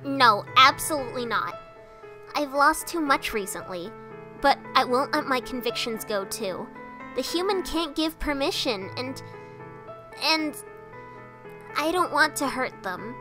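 Girl doing a small boyish skeleton guy for a comic dub, high voice, slow and earnest, kinda nervous but kind. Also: lively intonation, over music. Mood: uneasy, firm. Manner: hesitant, stammering, earnest.

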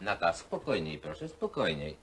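A man's voice: deep voice, sing-song, normal speed. An actor playing a distracted, grumbling middle-aged father in a naturalistic film drama. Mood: distracted irritation. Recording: old Polish film soundtrack, faint noise.

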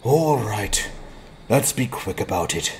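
A man voicing a grumpy, suspicious innkeeper in a dramatized fantasy audiobook, gruff and indignant, with a gravelly voice. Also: on the low side, animated, slow pace, a little hiss or hum. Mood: grudging. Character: grumpy, suspicious male innkeeper, quick to insult.

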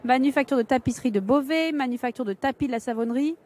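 A woman's voice: high voice, fast, darkish tone. A woman in her thirties, a museum curator, explaining her views on a conference panel into a handheld mic, with some hesitant fillers. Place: large conference stage indoors.

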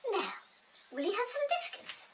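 A woman's voice: very high-pitched, wildly swooping pitch, normal speed, a bit bright, distant mic, slight background noise, pitched above the performer's own voice, full character voice. A woman voicing a small, fawning, over-polite male goblin in a children's storybook, gushing with courtesy.

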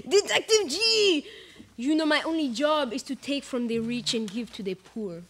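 A woman's voice: sits high, lively intonation, takes it slowly, crowd noise. A woman playing a boastful, gloating male train robber in a live student radio play, with theatrical glee. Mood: gleeful boasting. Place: small studio, indoors. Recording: clearly noisy studio recording.

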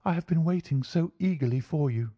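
Man voicing an anxious, high-pitched young English gentlewoman. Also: brisk, no echo, close to an everyday voice. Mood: eager, relieved. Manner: earnest, genteel.

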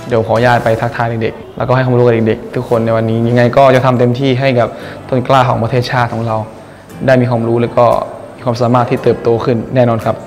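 A man's voice: mid-range voice, flat delivery, quick pace, darkish tone. A young man, a singer mentoring students, speaking politely and earnestly to the camera in a presenter style, first outside a school and then inside a music school. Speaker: guest singer and workshop mentor. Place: outdoors by a school building, then indoors at a music school.

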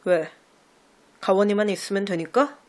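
A woman playing an insecure teenage idol trainee in an audio drama, with a soft, halting, emotional delivery. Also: mid-range voice, natural voice. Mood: guarded. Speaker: amateur voice actor. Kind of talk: Korean audio drama acting.

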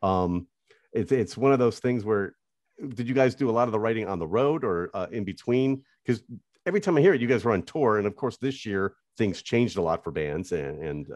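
A man's voice: on the low side, animated, a little mellow, no echo. A man in his fifties, a radio host, asking eager questions in a video-call interview. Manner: quick, eager fan questions. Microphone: studio mic on video call.

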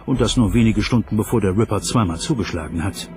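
A man's voice: lowish voice, quick pace, darkish tone, natural voice. A man voicing a male detective who investigates a cold case, in a dubbed documentary interview. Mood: matter-of-fact. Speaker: voice-over for a detective.

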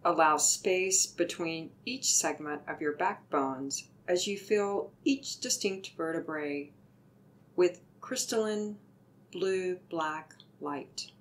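A woman leading a guided meditation with soothing, deliberate, gently instructive delivery. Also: on the low side.

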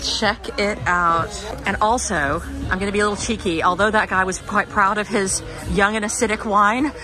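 A woman's voice: mid-range voice, fairly even intonation, normal speed, echoey room, in a large hall, over music, a crowd in the background, poor audio. A woman hosting a travel vlog, chatting playfully and gushing as she narrates. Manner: playful, laughs often, gushing descriptive narration. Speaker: travel vlogger. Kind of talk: casual travel vlog chat and voice-over.

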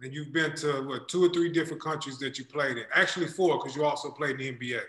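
A man co-hosting a podcast over a video call, asking questions in a chatty, relaxed way. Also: medium pitch, fast, reverberant. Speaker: podcast co-host. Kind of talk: casual interview questions. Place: indoor room. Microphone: video call webcam mic.

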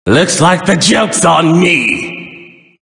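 An actor playing a mad, gleefully sinister trickster jester god for a video game, taunting in a giggly, theatrical sing-song. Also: male voice, on the high side, expressive, very slow, gravelly, fairly bright tone, full character voice. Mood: mocking. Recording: echoey hall-like reverb.

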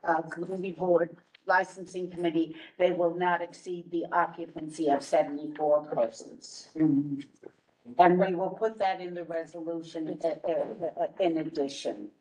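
A woman's voice: lowish voice, steady tone, measured pace, darkish tone. A woman giving a committee report at a community board meeting. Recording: distant mic in meeting room.

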